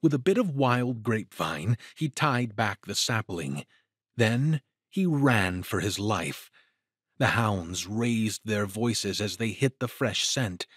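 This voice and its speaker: A man narrating an adventure story in a dramatic, deliberate, suspenseful way. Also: fairly low pitch, husky, no room sound, studio quality.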